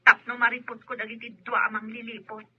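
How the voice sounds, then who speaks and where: A woman's voice: a little high, flat delivery, fairly quick, husky, dry recording. An actress playing a suspicious, determined wronged wife in a radio drama, pressing and accusatory as she talks. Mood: secretive, determined. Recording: dull telephone-like lo-fi sound.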